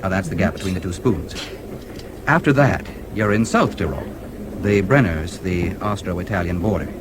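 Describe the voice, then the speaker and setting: A man's voice: deep voice, expressive, normal speed. An actor playing a confident, genial American newspaperman in a radio drama, with an American accent. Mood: explanatory. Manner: genial, breezy, self-assured. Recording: radio play with clear background noise.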